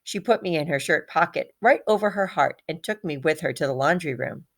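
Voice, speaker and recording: A woman reading a children's story aloud in an expressive, animated manner. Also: low-pitched, fairly quick.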